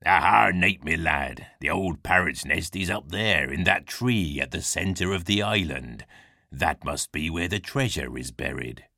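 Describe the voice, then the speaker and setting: Deep, hearty storybook pirate captain, West Country burr. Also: male voice, measured pace, creaky, pushed and bright, no room sound, studio quality, exaggerated voice. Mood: excited, hearty. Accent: stage West Country pirate. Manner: theatrical, salty, hearty. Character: gruff hearty old-salt pirate captain, a scheming grown man. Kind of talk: acted children's storybook dialogue.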